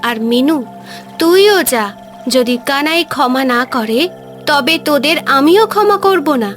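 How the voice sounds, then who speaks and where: A woman plays a motherly mermaid spirit in a cartoon tale. Her voice is high and very slow, solemn and commanding, with faint music beneath. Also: animated. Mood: stern, merciful. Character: wise, merciful motherly mermaid spirit who judges. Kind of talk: Bengali cartoon voice acting. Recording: music behind the voice.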